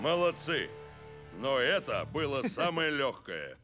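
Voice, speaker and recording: A man's voice: mid-range voice, very animated, slow pace. A man voicing a firm, encouraging football coach in a dubbed cartoon, commanding and instructive. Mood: calm challenge. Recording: music behind the voice.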